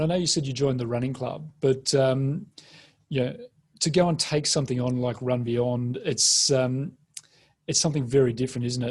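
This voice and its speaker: A man hosting a conversational interview and asking questions. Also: medium pitch.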